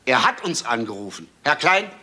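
A sharp, animated, relentless police inspector. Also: male voice, mid-range voice, moderate pace, slight background noise. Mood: forceful, pressing. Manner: insistent rhetorical questioning. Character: relentless, sarcastic male police inspector pressing suspects. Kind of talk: crime radio drama acting.

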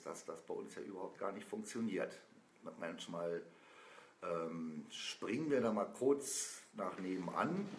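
A man with a very low voice chats loosely on a sofa, filling pauses with ums and uhs as he wanders through his thoughts. He is recorded by a camera a few feet away in a small, echoey room with a faint hiss behind him. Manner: rambling, with many fillers. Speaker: band member. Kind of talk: casual chat on a live stream. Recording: roomy with faint noise.

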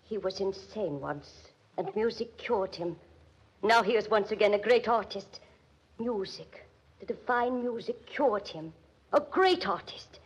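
A gentle, evasive German widow in a vintage radio mystery. Her German-accented voice is husky and sits at a middling pitch. She speaks haltingly and anxiously, and the old recording is dull and muffled. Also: animated. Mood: wistful, proud. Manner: halting, pleading, formal foreign phrasing. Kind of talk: 1940s radio acting.

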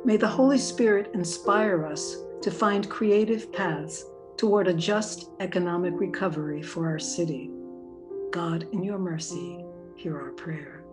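An older woman calmly reading intercessory prayers in a measured way. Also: lowish voice, over music. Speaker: prayer leader.

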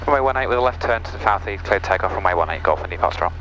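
A man's voice: low-pitched, expressive, rapid-fire, a bit bright, highs cut off, a little hiss or hum. A young man piloting a small plane, giving cockpit talk and radio calls into a headset. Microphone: aviation headset boom mic. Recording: headset audio with engine drone.

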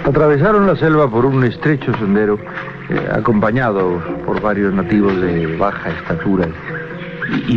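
A man narrating a radio drama, telling the story with deliberate, halting suspense. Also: mid-range voice. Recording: muffled 1980s radio recording with music. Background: music.

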